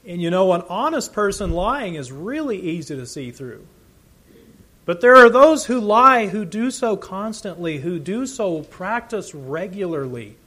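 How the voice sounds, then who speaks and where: A man's voice: sits high, very animated, unhurried, pushed and bright. A man preaching a sermon, earnest and emphatic, his volume rising and falling as he teaches.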